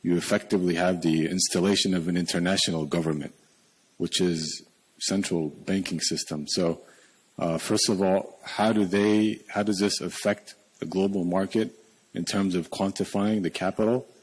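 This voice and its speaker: A man's voice: deep voice, fairly even intonation, moderate pace, breathy edge, rough recording. A man from Sudan in the audience, asking a long, articulate question.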